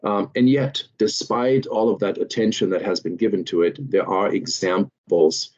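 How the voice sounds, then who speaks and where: A man from Germany with a German accent, giving an online lecture as series host in a measured way with many fillers. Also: fairly low pitch, steady tone, a little mellow, muffled recording. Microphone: video call.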